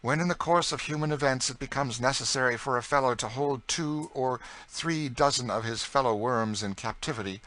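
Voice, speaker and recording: A witty, idle young gentleman talks with lazy irony, as a man reads him aloud. The voice is bright and clear-edged, mostly in a relaxed register. He teases and lectures in the same easy drawl. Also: mid-range voice, fairly quick, hardly any pauses, voice pushed up, close to an everyday voice. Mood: teasing. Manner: bantering, ironic, then impassioned. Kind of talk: audiobook character voice.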